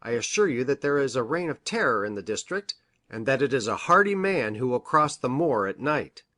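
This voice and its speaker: A woman acts out a grave gentleman doctor from a detective storybook. He speaks formally and precisely, in measured sentences, with a lively rise and fall in pitch. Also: full character voice. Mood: troubled, grave. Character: grave, hesitant male country doctor, troubled witness. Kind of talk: audiobook character reading.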